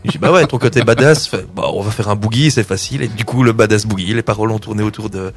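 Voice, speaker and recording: Low-voiced man telling a story. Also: fast, slightly rough. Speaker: band member, musician. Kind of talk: casual interview.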